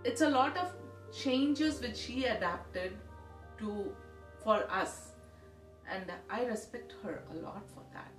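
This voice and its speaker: A woman's voice: fairly high pitch, takes it slowly, faint music behind. A middle-aged mother speaking earnestly in an interview, restarting her sentences. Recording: echoey room, distant mic.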